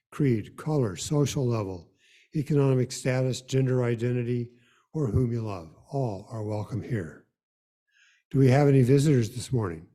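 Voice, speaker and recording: A man acting as worship leader, giving an informal, slightly halting welcome and announcements at a church service. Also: medium pitch, dark tone.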